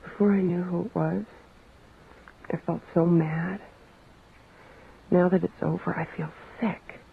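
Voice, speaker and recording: Wounded, weary wife, creaky and level in tone, naturalistic radio drama. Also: female voice, lowish voice, steady tone, unhurried. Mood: hurt, drained. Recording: tinny, narrow radio recording, faint noise.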